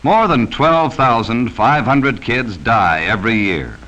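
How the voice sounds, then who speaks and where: A man's voice: mid-range voice, animated, slow, a bit bright, dull audio. An American man narrating a 1940s educational safety film from a script, speaking sternly and cautioning children directly, on a vintage film soundtrack.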